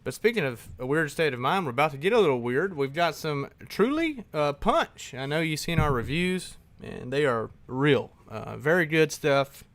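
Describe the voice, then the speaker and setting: A man's voice: on the high side, animated, moderate pace, few pauses, a bit bright, dry recording. A man co-hosting a live podcast in casual chat, talking in a laid-back, rambling way. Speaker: podcast co-host.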